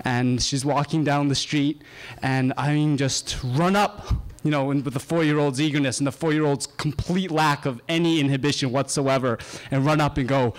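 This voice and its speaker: A young man from Japan telling a casual personal story to an audience, halting often on uh and um. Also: mid-range voice, fairly even intonation. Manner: halting, full of uh and um, chatty and wandering.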